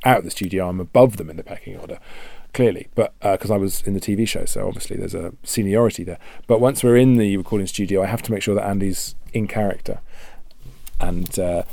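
A man's voice: fairly low pitch, fast, creaky, dark tone, no echo. A male actor chatting casually in an interview in a jokey, mock-boastful way. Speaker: audio drama actor.

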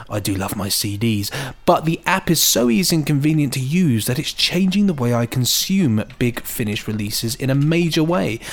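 A man co-hosting a podcast, chatting casually and jokily and reading out listener emails. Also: a little high. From England. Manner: chatty, jokey. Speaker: podcast co-host.

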